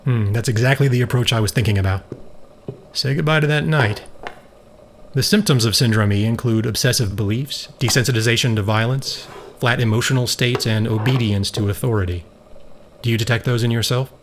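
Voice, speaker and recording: A man acting in a science fiction audio drama as a grave, troubled scientist and proud elder brother, speaking in measured, earnest, formal phrases. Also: medium pitch, close to an everyday voice. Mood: earnest, probing. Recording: faint background noise.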